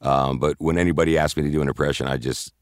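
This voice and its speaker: A man who works as a voiceover announcer, chatting in an interview with a deep voice and a halting, filler-heavy way of talking. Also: steady tone, clear, resonant tone, dry recording, clean studio sound. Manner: rambling, halting, with many fillers and restarts. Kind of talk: casual interview chat.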